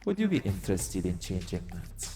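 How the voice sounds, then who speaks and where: A man playing an exiled god of vengeance in an audio roleplay, theatrical and deliberate, coaxing and taunting with dark amusement. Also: deep voice, sing-song. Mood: brooding, coaxing. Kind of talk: dramatic audio roleplay monologue to the listener. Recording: long cavernous reverb. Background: music.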